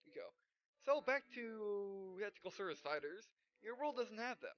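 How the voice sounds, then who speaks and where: A woman doing let's play commentary, reading game dialogue aloud in a halting way and often correcting herself. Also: mid-range voice, expressive, no echo. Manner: halting, stumbling read-aloud with frequent self-corrections. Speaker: gaming video host. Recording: dull, muffled lo-fi microphone. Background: game music.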